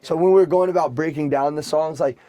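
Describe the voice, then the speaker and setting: A man's voice: fairly high pitch, fairly even intonation, brisk, mellow. A young man, a rapper, chatting casually on a podcast, rambling and leaning heavily on filler words. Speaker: rapper guest.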